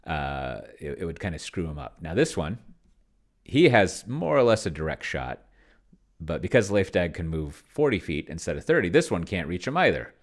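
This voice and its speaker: Male game commentator, notably low-pitched, casual and rambling, talking himself through each decision. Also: very animated, normal speed. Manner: rambling, musing to himself as he works. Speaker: solo tabletop role-player and host. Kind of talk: casual think-aloud gameplay commentary.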